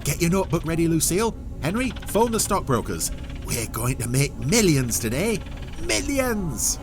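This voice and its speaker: A man voicing a greedy, excitable villain boss with theatrical, blustering delivery in an audio story. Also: fairly high pitch, normal speed, over music, pitched above the performer's own voice. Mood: gloating.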